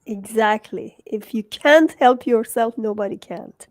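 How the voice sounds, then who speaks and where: A Persian-accented woman, native in Farsi, hosting a close, dry-sounding book conversation in a lightly pitched voice. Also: a little slow, no room sound. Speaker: host, book coach. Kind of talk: conversational interview.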